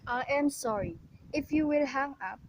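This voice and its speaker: Actress as formal, procedural telephone operator in a radio drama; high voice, measured and polite, slightly noisy home recording. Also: female voice, lightly acted. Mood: polite, patient. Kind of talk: amateur radio play acting. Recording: noisy amateur recording with clicks.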